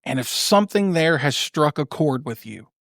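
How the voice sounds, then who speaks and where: Steady man hosting a podcast outro. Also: on the high side, normal speed, vocal fry, no room sound, clean studio sound. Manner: steady, affirming host who keeps the show moving. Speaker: podcast host. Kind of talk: casual podcast chat with a scripted outro.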